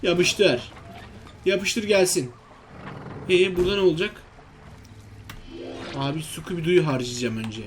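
A man's voice: high voice, measured pace, pauses often, a little hiss or hum. A man giving casual, exclamatory let's-play commentary, talking to himself and his viewers. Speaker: gaming video host.

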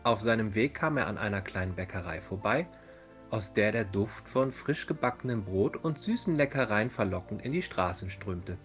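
A man's voice: fairly low pitch, lively intonation, moderate pace, fairly bright tone. A man narrating a fairy tale as a storyteller in a dramatized reading. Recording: music behind the voice. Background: background music.

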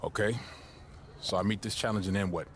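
An actor playing a young, grounded college basketball star with an American accent and a low-key, casual delivery in a video game cutscene. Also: male voice, steady tone, fairly quick, a little hiss or hum, lightly acted. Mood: firm, unbothered. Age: young adult.

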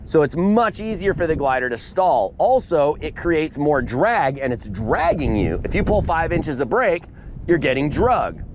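A man runs an outdoor flying lesson on a beach, his voice lively and swinging up and down as he stresses each point. He talks in punchy bursts, dropping "boom" and "bam" for effect. Faint outdoor noise sits behind his voice from a head-mounted camera. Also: measured pace. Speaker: paramotor instructor. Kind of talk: outdoor class demonstration. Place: open sandy beach outdoors. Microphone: head-mounted camera mic.

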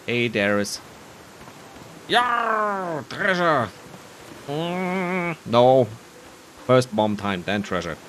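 A man's voice: mid-range voice, very animated, extremely slow, pauses often, a bit bright, a little hiss or hum, low-quality recording. A man giving casual, rambling commentary on his own gameplay, with muttered, self-deprecating asides. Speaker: gamer narrating his playthrough. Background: faint game music.

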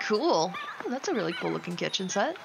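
Animated woman gushing over a video game. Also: medium pitch, fairly quick, vocal fry, noisy background. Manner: gushing, enthusiastic, exclamatory. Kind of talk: casual gaming chat and reactions.

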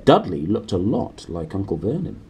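Animated, mellow-voiced British man reading aloud. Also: low voice, normal speed, a little hiss or hum. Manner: expressive. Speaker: reader. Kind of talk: brisk storybook reading to camera. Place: small decorated room indoors.

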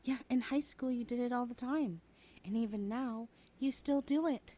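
A woman's voice: a little high, normal speed, dark tone, lightly acted. A woman playing a chatty, teasing young adult best friend in a casual, hesitant ASMR roleplay. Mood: coaxing, teasing. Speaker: voice actor in audio roleplay. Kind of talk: ASMR roleplay, chatty one-sided conversation.

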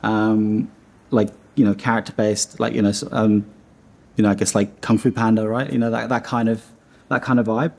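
Man, thirties, from Iceland, warm mellow tone, a little husky, rambling with fillers, seated interview in a dim studio. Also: lowish voice, little pitch movement, moderate pace. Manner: rambling, heavy on fillers and restarts. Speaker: animation studio founder.

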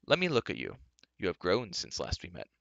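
A man voicing a grave, gentle older warrior mentor in a fantasy audiobook, speaking softly and with measured solemnity. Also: sits low, wildly swooping pitch, gravelly, no echo, muffled recording, heavily acted.

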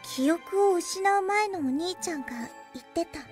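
A woman playing a quiet, devoted young girl in an anime audio drama, speaking in terse, understated phrases. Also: very high voice, normal speed. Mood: quiet, earnest. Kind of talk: Japanese anime drama CD acting. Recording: childlike tinkling music behind voice. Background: tinkling background music.

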